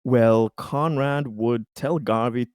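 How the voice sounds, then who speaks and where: A man playing a tabletop roleplaying game, narrating his character off the cuff in a halting, stumbling way. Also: medium pitch, darkish tone, smooth, dry recording, studio quality.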